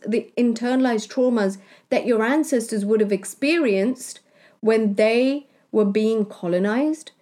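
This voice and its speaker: A woman from Kenya, a spiritual healer, talking in a slow, deliberate monologue that she punctuates with "right?". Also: fairly high pitch, dark tone. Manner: slow, deliberate, with tag questions. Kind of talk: reflective monologue.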